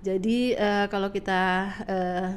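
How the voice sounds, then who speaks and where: A middle-aged woman with a slightly congested, nasal voice, giving polite, halting explanations as an interview guest. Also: medium pitch, steady tone, darkish tone. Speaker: interview guest, government agency director. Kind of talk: conversational explanatory answers.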